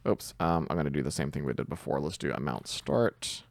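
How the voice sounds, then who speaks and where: A man's voice: very deep voice, fairly quick, creaky, no echo. A man giving a casual coding tutorial, thinking aloud informally as he works through it. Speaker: tutorial presenter.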